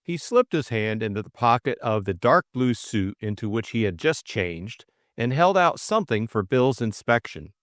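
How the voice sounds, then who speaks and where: A man's voice: medium pitch, very animated, moderate pace, few pauses, fairly bright tone, no echo, clean studio sound. A man narrating a novel as a scripted audiobook, reading expressively.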